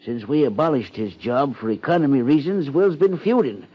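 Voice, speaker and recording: Husky, hesitant town official. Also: male voice, medium pitch, lively intonation. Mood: disapproving. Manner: hesitant, halting. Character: shaken, hesitant male small-town supervisor. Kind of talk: 1950s radio drama acting. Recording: muffled 1950s radio broadcast.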